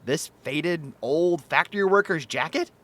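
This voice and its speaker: A man's voice: a little high, lively intonation, unhurried, sharp tone, no room sound. An actor playing a flippant, bemused man in his twenties in an audio comedy, wry and incredulous. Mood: amused, wry. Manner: wry, incredulous, hesitant when challenged. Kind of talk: audio drama comedy acting.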